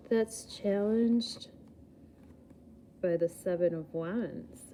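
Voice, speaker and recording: A woman reading tarot in an unhurried, easygoing way, her voice very mellow and a little low. She rambles and laughs at herself, sounding slightly groggy after a bad night's sleep. Faint noise sits behind her. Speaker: tarot reader.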